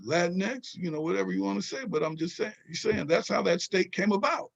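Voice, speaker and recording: An older man with a husky edge to his voice, recorded on a dull, lo-fi mic. He tells history in long, digressive stretches and stresses his points as he goes. He pulls the listener along with rhetorical questions. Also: high voice, brisk. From Southern California. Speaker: guest, historian and author. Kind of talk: interview conversation.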